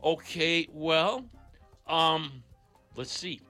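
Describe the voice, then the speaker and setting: An older man from Greece, a native Greek speaker, talking as a guest in a radio interview in an animated, chatty way. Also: fairly high pitch, extremely slow, soft background music. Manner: animated, grateful, chatty with restarts. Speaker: guest musician.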